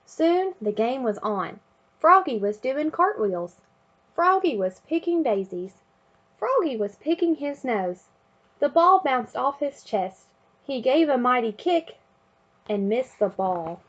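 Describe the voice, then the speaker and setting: A woman reading a children's picture book aloud in an expressive way, chanting refrains and sound words. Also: sits high, takes it slowly, lo-fi sound. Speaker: storybook reader.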